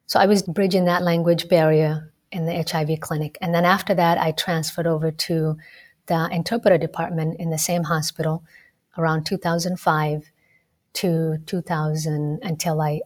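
A woman from Kenya with a fairly low voice talks through her answers in a measured, explanatory way. She is a medical student in her thirties, giving an interview and pausing now and then on small fillers. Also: darkish tone. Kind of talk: radio interview conversation.

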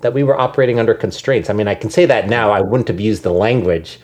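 A man's voice: fairly low pitch, fast, a little mellow. A man being interviewed, speaking thoughtfully with frequent ums and restarts. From United States. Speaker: guest, education nonprofit leader. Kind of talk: conversational interview.

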